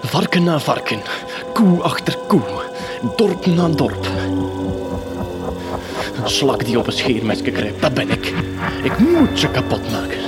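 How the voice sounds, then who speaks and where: A man's voice: mid-range voice, sing-song, slow, long pauses, loud music behind the voice. A man playing an unhinged gung-ho army captain in a comedy radio play, barking military jargon. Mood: haunted. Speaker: voice actor.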